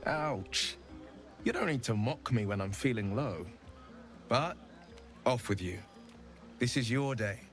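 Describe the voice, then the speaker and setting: Wry, melancholy devilish gentleman. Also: medium pitch, lively intonation, slow, pauses often, soft background music. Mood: wry, putting on cheer. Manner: courteous, wry, eloquent. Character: melancholy, self-deprecating horned devilish gentleman. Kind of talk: video game character acting.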